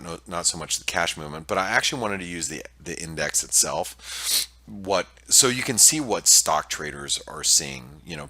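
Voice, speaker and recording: Deep-voiced male market analyst, nasal with allergies, bright edge, loose and halting delivery. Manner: hesitant, many fillers and restarts. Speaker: market analyst presenting weekly preview. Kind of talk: casual screen-share market commentary.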